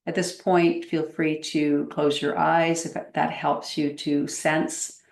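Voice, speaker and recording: Slow, low-voiced woman guiding meditation. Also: little pitch movement, gravelly, reverberant. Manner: gentle, unhurried, with long pauses. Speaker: meditation teacher. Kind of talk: guided meditation.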